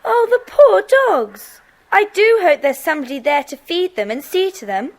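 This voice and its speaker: A woman playing a tender-hearted, excitable schoolgirl in a children's audio drama, earnest and exclamatory. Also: extremely high pitch, normal speed, full character voice. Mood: pitying.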